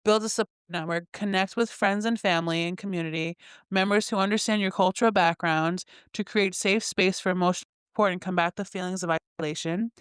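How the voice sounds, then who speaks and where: A woman's voice: on the low side, fairly even intonation, fast talker, clear, resonant tone, dry recording. Chatty, self-mocking woman in a very dry room. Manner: chatty, self-mocking, laughs at her own slips. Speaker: podcast host. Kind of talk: casual solo podcast, reading affirmations aloud. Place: her bedroom, in bed.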